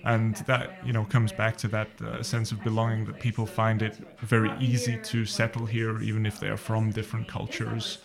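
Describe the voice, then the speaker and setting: A man, a panel guest who speaks haltingly with many pauses. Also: fairly low pitch, monotone, creaky, a crowd in the background. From Wales. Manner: halting, lots of uhs. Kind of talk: panel discussion.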